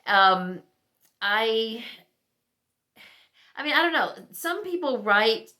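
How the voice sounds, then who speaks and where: A woman talking casually as a book vlogger, thinking aloud in halting, conversational asides. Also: a little high, sing-song, bright, forceful tone. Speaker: book vlogger reviewing recent reads. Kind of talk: casual chat to camera.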